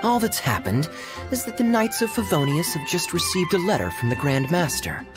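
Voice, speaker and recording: A man voicing a suave young male knight captain in a video game, with a smooth, teasing manner. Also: fairly high pitch, sing-song, normal speed, music in the background. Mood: reassuring.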